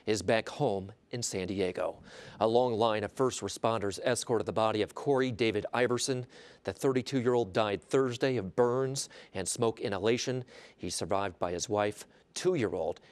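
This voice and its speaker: A man's voice: fairly low pitch, no room sound. A man with an American accent reading scripted news as a television anchor.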